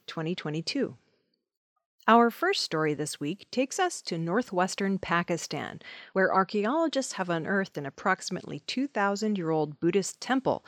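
A woman's voice: mid-range voice, no room sound, studio quality. A woman reading scripted news stories as the presenter of an audio news program. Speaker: news reader.

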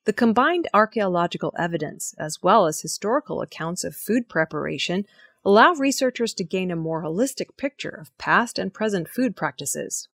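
A woman presenting scripted news stories in a measured, formal reading manner. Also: mid-range voice, lively intonation, fairly bright tone, no room sound.

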